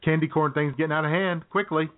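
A man's voice: fairly high pitch, fairly even intonation, fast talker, very noisy. A man co-hosting a comedic radio show, chatting with frequent laughs and mock outrage. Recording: phone-like radio audio.

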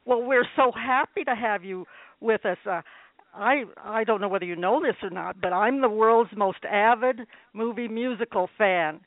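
A man hosting a radio interview show, enthusiastic and warm-hearted in his praise, often stumbling and restarting his questions. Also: medium pitch, moderate pace, no echo. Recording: tinny lo-fi line.

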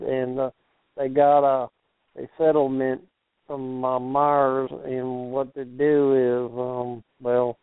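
A man from Kentucky phoning in to a radio show, chatting casually and jokingly in a halting, deadpan way. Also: medium pitch, clean tone, no echo. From Kentucky, United States. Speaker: phone-in caller. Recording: narrow telephone line.

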